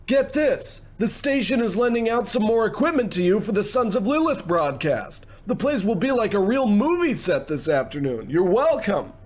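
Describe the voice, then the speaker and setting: Man playing a high-pitched, slick showbiz producer. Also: sing-song, darkish tone. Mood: boastful, excited. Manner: fast-patter salesman, gushing pet names. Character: slick, pushy, glib showbiz producer, male. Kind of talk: old-time radio comedy-drama acting.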